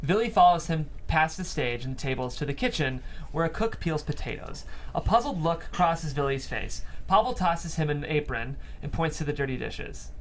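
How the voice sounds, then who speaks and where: A man's voice: a little high, expressive, moderate pace, a bit bright, a little hiss or hum. A man reading scripted stage directions as the narrator of a table read.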